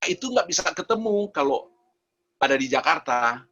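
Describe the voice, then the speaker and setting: Halting man on a dull call. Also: on the high side, animated, bright, forceful tone. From Minahasa, North Sulawesi, Indonesia. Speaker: webinar participant asking a question. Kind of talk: informal spoken question. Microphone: video call. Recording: dull, lo-fi online call audio.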